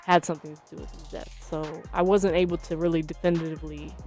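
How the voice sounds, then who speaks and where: Low-voiced woman explaining carefully in interview. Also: flat delivery, darkish tone, faint music behind. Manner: hesitant, measured, careful explaining. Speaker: journalist and author being interviewed. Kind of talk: interview answers.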